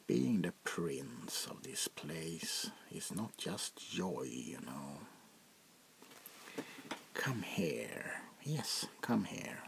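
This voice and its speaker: A man playing a jaded, sardonic aristocratic vampire prince in a soft-spoken ASMR roleplay, gravelly and often dropping to a whisper, languid and mockingly courteous. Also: very deep voice, very animated, very slow, noisy background, exaggerated voice. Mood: scornful.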